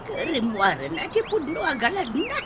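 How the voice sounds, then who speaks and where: A woman playing a hot-tempered, sharp-tongued nagging wife in an Ilocano comedy radio drama. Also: sits high, wildly swooping pitch, moderate pace, heavy background noise. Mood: muttering.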